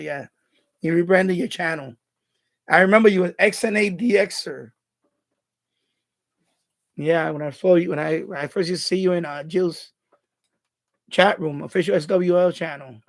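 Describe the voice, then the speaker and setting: Bronx-accented man, high gravelly voice. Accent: Bronx New York. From born in Puerto Rico, raised in the Bronx. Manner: rambling, repeats and restarts words, reads chat aloud. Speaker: live stream host. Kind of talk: casual live-stream chat reading viewer comments.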